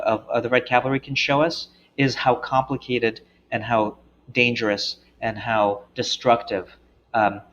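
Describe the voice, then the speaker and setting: A man giving a fluent, measured explanation in an interview. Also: mid-range voice, fairly bright tone. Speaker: interviewee, expert. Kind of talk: interview commentary. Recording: dull lo-fi sound.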